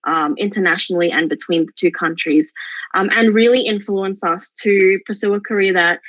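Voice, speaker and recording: A woman in her twenties with an Australian accent, giving a semi-prepared talk at an online forum, pausing often mid-phrase as she searches for words. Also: medium pitch, steady tone, fairly bright tone, no echo. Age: 20s. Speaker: forum speaker, exchange program alumna. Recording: dull, lo-fi online call audio.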